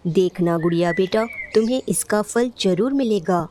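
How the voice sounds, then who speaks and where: A woman's voice: medium pitch, moderate pace, mellow, close to an everyday voice. A woman playing a proud, hard-working father sparrow in a children's cartoon, earnest and fatherly, with faint noise in the recording. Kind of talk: Hindi children's cartoon story acting.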